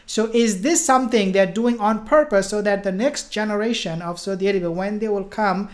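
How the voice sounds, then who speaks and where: A woman speaks as a commentator on religion and politics in an informal, emphatic way. Her voice sits at an average pitch. She repeats key phrases and leans on rhetorical questions to press her points. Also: brisk.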